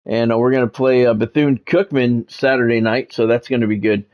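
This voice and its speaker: A man's voice: mid-range voice, fairly even intonation, a little fast. A man giving casual, rambling, conversational commentary as he hosts a football video game stream. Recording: dull lo-fi audio with music behind.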